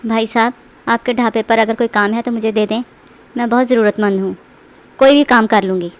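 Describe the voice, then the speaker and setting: A woman playing a poor, humble young village wife, polite and earnest, in an animated moral story. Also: a little high, a little fast, close to an everyday voice. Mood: pleading.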